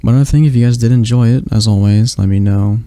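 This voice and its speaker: A man's voice: mid-range voice, quick pace, dark tone, no echo. A man signing off his ASMR video in a casual, hesitant, apologetic way with many fillers. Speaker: ASMR channel creator. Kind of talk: casual outro chat.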